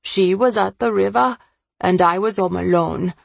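A woman voicing a tearful, guilt-ridden elderly man in an audiobook, speaking slowly and haltingly with sorrow. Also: mid-range voice, gravelly, no room sound. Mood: pained, remorseful. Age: older. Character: grief-stricken guilt-ridden elderly Indian man confessing a secret. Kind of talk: audiobook character acting.

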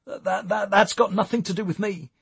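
A man's voice: on the high side, fairly even intonation, unhurried, fairly bright tone, slightly rough, dry recording, voice pushed up, exaggerated voice. A man voicing a nervous, evasive man caught by police, stammering and pleading in an audiobook. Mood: nervous protest. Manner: stammering, panicky protests.

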